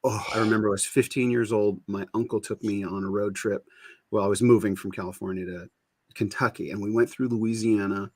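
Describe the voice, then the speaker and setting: A middle-aged man with a fairly low, husky voice hosts a loose chat, wandering off topic and restarting his sentences. The sound is dry and close, with no room around it. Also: steady tone, a little fast, darkish tone, dry recording. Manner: rambling, self-interrupting, laughs often. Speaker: show host. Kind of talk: casual chat.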